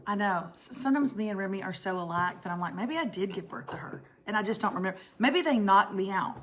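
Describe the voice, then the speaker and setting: A middle-aged woman with a raspy voice, co-hosting a casual morning chat and clearing her throat often. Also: mid-range voice, animated, quick pace, fairly bright tone, slight background noise. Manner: chatty, self-mocking, frequent throat clearing. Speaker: co-host.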